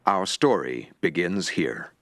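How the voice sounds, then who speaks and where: A man's voice: deep voice, lively intonation, slow. A man narrating a mock documentary in a grave, dramatic tone. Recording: music underneath.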